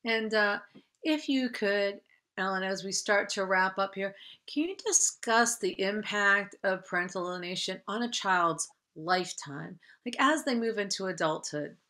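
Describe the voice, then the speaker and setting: A middle-aged woman hosting an interview on a video call, summarizing reflectively with careful, halting phrasing. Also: mid-range voice, expressive, pushed and bright. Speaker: interview host.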